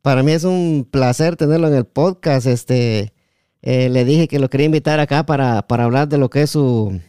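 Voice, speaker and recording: Man with a smooth, mellow voice. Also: medium pitch, moderate pace, no echo, studio quality. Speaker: podcast host. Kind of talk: conversational interview.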